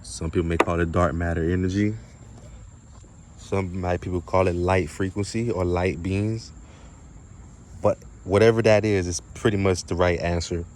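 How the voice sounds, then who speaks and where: Man, low-pitched voice, emphatic and repetitive, slangy spiritual talk, rhetorical questions, faint background noise. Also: steady tone, moderate pace, a little mellow. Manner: casual and slangy with some swearing. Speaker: spiritual content creator and speaker. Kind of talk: informal spiritual monologue to an audience.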